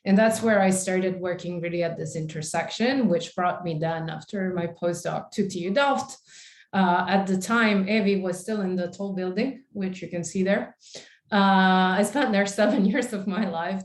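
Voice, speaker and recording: A woman's voice: medium pitch, moderate pace. Woman, 40s, casual conference speaker on a webcam, roomy sound. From Italy. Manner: chatty, many fillers, self-deprecating. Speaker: invited speaker. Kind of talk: conference talk with slides. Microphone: video call webcam. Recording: echoey room.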